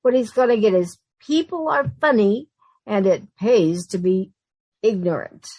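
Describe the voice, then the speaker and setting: A woman's voice: mid-range voice, unhurried, darkish tone, dry recording, lightly acted, rough recording. A woman playing an anxious, sardonic young roommate who also narrates, in an old-time radio sitcom style. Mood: sardonic despair. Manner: wry, long-suffering, nervous.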